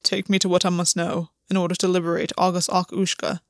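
A woman voicing a troubled fae man who speaks gravely and pleads. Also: high-pitched, little pitch movement, fast talker, no room sound, clean studio sound.

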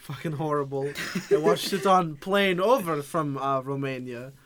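A man playing a boastful foreign comedian guest on a podcast, speaking broken English with grandiose deadpan self-promotion. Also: a little high, moderate pace, close to an everyday voice. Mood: pompous. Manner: broken English, dropping articles. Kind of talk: improvised comedy podcast bit.

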